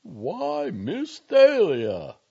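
A man's voice: medium pitch, huge pitch swings, takes it slowly, creaky, darkish tone, husky, muffled recording, pitched above the performer's own voice, heavily acted. A man voicing a furious, fiery young woman in a storybook, scolding scornfully in slangy bursts. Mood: furious, mocking.

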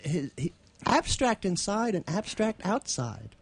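A woman's voice: mid-range voice, very animated, a little slow, vocal fry, breathy edge, no room sound. A woman co-hosting a radio show, chatting casually and reading literary prose. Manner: chuckles often while telling anecdotes.

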